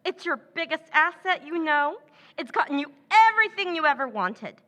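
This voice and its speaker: A woman's voice: high voice, big pitch swings, normal speed, bright, forceful tone, exaggerated voice. Woman as a cold, scheming sister. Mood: contemptuous. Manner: cold, cutting, sneering. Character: scheming, contemptuous younger sister, adult woman. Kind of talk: old-time radio drama recreation.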